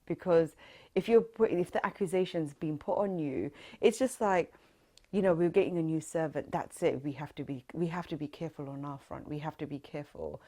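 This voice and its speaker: Woman, 30s, London accent, low voice, earnest interviewee in an oral history interview. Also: brisk, warm tone. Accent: London English. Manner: reflective, earnest, with many fillers.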